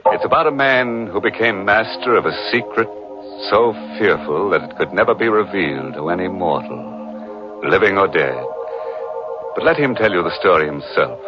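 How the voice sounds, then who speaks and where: An actor playing a ghoulish host of a 1940s radio horror show, delivering grim puns with creepy relish. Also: male voice, low voice, expressive, normal speed. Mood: sinister. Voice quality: dark punning, creepy delivery. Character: macabre man hosting a radio horror show, punning and gleeful. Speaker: sinister radio mystery host. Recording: muffled old radio broadcast with music.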